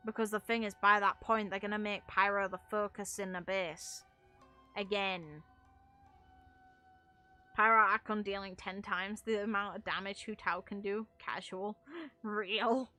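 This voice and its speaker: Female game streamer, bright medium-pitched voice, casual opinionated rambling, music in the background. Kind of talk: casual gaming commentary.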